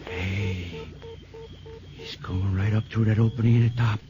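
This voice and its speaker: An actor playing a frightened, urgent sheriff's deputy in a melodramatic 1950s radio drama. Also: male voice, on the low side, fairly even intonation, unhurried, lo-fi sound, over loud music, natural voice. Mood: tense.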